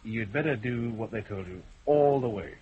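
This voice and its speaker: An actor playing a steady police detective in a radio drama, speaking in a level, firm way. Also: male voice, fairly low pitch, moderate pace, breathy edge. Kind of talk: 1970s radio drama acting. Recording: muffled old radio broadcast.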